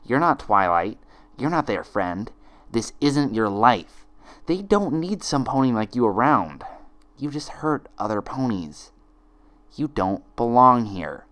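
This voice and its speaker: Sinister female pony acted by a man, sibilant, cooing, falsely sympathetic, storybook villain, swooping pitch. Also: sing-song, takes it slowly, fairly bright tone. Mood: cold cruelty. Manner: mock-sympathetic, insinuating.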